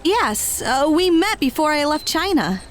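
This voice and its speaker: A woman's voice: sits high, sing-song, measured pace, slight background noise. A woman playing an upbeat, friendly and enthusiastic female fighter in an audio drama. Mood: surprised, friendly.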